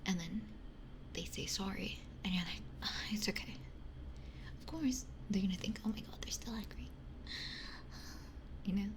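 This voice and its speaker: A woman whispering softly and unhurriedly in casual ASMR chat, musing in short phrases close to a binaural microphone. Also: mid-range voice, normal speed, long pauses. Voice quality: breathy whisper. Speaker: ASMR livestreamer. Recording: close-miked with faint background noise.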